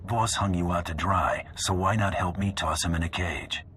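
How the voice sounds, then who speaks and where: An actor playing a curt, no-nonsense male bounty hunter with a deep, gravelly voice in a video game. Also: normal speed, pushed and bright, slight background noise. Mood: cool. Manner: curt, cool, intimidating. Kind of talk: video game cutscene acting.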